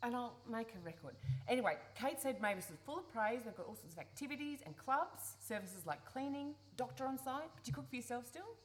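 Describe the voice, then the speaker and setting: Radio drama daughter played by a woman: fairly high voice, brisk, pushy organiser, exasperated, slight background noise. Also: lively intonation, lightly acted. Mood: brisk, persuasive. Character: pushy, organising adult daughter of a widowed farm mother. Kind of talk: live radio play acting. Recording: noisy live theatre recording.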